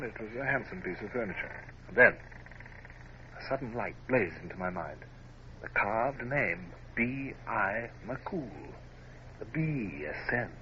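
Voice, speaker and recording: An actor playing a reflective, scrupulous naval officer, narrating formally and in measured phrases in a radio drama. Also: male voice, medium pitch, close to an everyday voice. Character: reflective, scrupulous young male Royal Navy officer narrating memoirs. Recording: dull, noisy vintage radio drama recording.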